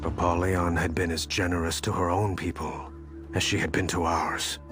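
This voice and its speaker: A man voices a stern, proud warrior champion in a game cutscene. He speaks in a low, measured, terse way. Clear music plays behind him. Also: level tone, close to an everyday voice. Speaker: the Emperor's champion. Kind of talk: video game cutscene voice acting.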